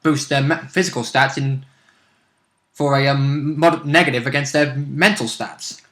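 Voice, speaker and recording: A man's voice: mid-range voice, fairly even intonation, a little slow, a bit bright. A man co-hosting a casual game discussion, explaining rules in a matter-of-fact, technical way.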